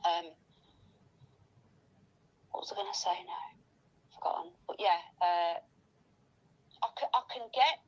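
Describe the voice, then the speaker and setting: A woman's voice: fairly low pitch, slow, pauses often, highs cut off. A woman chatting casually in a gossipy, emphatic and insistent way.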